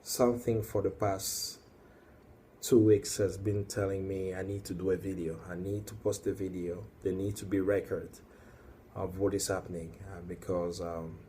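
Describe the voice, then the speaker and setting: A man's voice: low voice, steady tone, measured pace, mellow, slight background noise. A young man from the Congo speaking English, talking alone to a camera in a hesitant way with many fillers that builds into emphatic warnings. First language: Swahili or Lingala. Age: young adult. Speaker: activist. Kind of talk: solo video monologue. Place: indoors at night, working.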